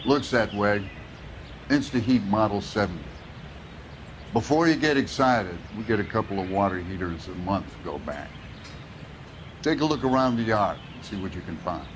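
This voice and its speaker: An actor playing a sardonic, world-weary arson investigator in a crime game, blunt and wry. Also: male voice, fairly low pitch, lively intonation, moderate pace, long pauses, noisy background, natural voice. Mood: sardonic, matter-of-fact. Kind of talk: dramatic game cutscene acting.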